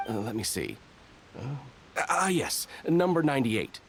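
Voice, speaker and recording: A formal, by-the-book soldier. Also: male voice, mid-range voice, very animated, measured pace, slight background noise. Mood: dutiful. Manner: formal and precise. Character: dutiful, by-the-book male soldier. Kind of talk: video game voice acting.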